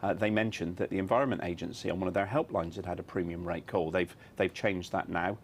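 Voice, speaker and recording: A British man answering as an executive in a news interview, measured and firmly reassuring. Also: sits low, fairly even intonation, a little hiss or hum. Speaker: insurance executive, interview guest.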